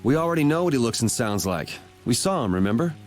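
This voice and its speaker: Video game role: man playing a wry, streetwise young hero, mid-range voice, dry and unhurried attitude, music underneath. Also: medium pitch, very animated, lightly acted. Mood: sardonic. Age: young adult. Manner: dry, laid-back, wry.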